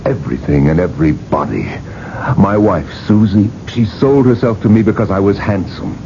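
An actor playing a domineering older industrialist obsessed with living forever, imperious and emphatic, in a radio drama. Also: male voice, lowish voice, expressive, normal speed, creaky, warm tone. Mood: bitter, domineering. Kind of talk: 1980s radio drama acting and first-person narration. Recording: muffled, noisy vintage radio broadcast.

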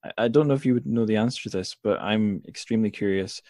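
A man's voice: lowish voice, quick pace, a little mellow, dry recording, studio quality. A man hosting a podcast, chatting and summarizing in an informal, inquisitive way. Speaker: podcast host. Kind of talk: casual chat and informal summarizing.

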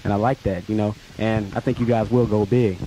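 A man in his late teens with a mellow voice co-hosts a radio chat. He speaks quickly and agreeably in casual slang, with some background hiss. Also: lowish voice, fairly even intonation, low-quality recording. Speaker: radio co-host interviewer. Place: small radio studio. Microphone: studio boom mic. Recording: hissy college radio recording.

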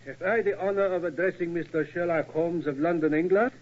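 A man playing an aging, deferential German tower keeper with a German accent, halting and pleading, in 1940s radio acting. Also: on the high side, lightly acted. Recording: dull, lo-fi old radio recording.

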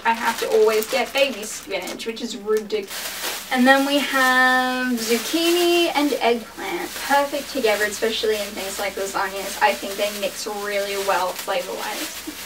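A woman's voice: a little high, a bit bright, distant mic, slight background noise, poor audio. Chatty young woman swearing casually. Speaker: vlogger. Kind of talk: casual vlog chat. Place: small bedroom indoors.

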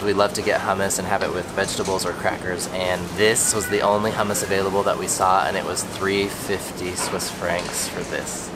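A young man filming himself at arm's length in a supermarket, explaining grocery prices in a relaxed, chatty way. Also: low voice, normal speed, few pauses. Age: young adult. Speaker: travel vlogger. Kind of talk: casual vlog commentary. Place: large indoor supermarket. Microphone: selfie camera at arm's length. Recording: store noise and air conditioning.

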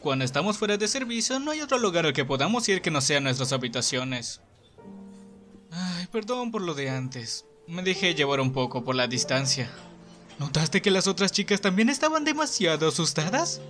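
A cheeky young enforcer with a bitter edge, acted by a man in an anime fan dub. His voice is fairly high, with a Latin American Spanish accent and casual, expressive delivery over music. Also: moderate pace. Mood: playful, curious. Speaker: amateur fandub voice actor.

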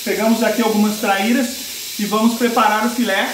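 A man in his forties gives a calm, patient cooking demonstration in a home kitchen, walking through each cut. His voice sits high for a man. A faint hiss runs under the recording from the camera mic. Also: normal speed. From Brazil. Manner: calm step-by-step explaining, pointing things out. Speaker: fishing channel host. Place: indoor kitchen with brick and wood walls.

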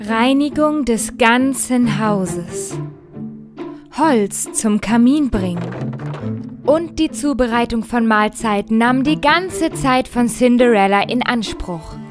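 A woman's voice: a little high, animated, slow. A woman reading a scripted fairy tale for children as storyteller. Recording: music under the voice. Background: music.

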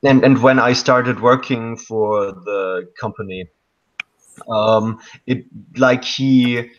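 A man's voice: medium pitch, steady tone, slow pace. A man in his thirties from Germany speaking English with a German accent, a hesitant interview guest on a video call. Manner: hesitant, many ums and restarts. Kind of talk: casual interview chat. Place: dark room at night. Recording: dull lo-fi call audio.

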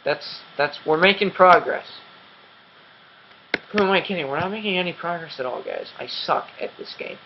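A man's voice: fairly high pitch, animated, normal speed, lo-fi sound, background noise. Rambling young man with a cracking voice. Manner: rambling, self-mocking, many ums and restarts. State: voice cracking and dry. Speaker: gaming channel host. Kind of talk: casual game commentary.

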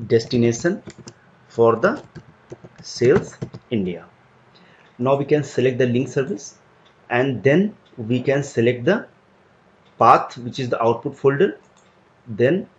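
A man giving a step-by-step screen-recorded software tutorial, explaining each click as he goes. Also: medium pitch, muffled recording. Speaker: tutorial instructor.

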